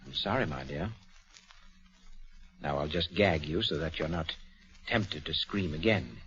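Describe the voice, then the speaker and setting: An actor playing a polite, controlled manservant who is a calculating villain, in a 1940s radio melodrama. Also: male voice, very deep voice, measured pace, a bit bright. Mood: menacing. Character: deferential manservant, secretly a cool, calculating murderer. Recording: dull 1940s radio recording.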